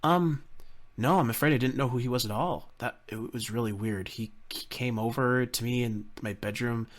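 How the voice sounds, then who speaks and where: A man's voice: medium pitch, moderate pace, natural voice. A man playing a forgetful, scatterbrained robot in an improvised Minecraft roleplay, hesitant and muttering. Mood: rambling, friendly. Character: bumbling, forgetful, chatty robot.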